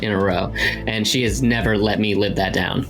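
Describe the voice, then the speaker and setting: Halting male tabletop player over music. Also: on the low side, steady tone. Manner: halting, conversational. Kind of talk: casual improvised roleplay talk.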